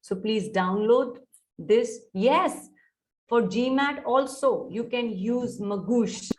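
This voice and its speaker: A middle-aged woman with an Indian English accent gives an online soft skills lecture to students. She coaxes them and keeps checking whether they are following. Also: a little high, slow pace, a little hiss or hum, low-quality recording. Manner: coaxing, mixes Hindi into English, checks on students often. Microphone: video call.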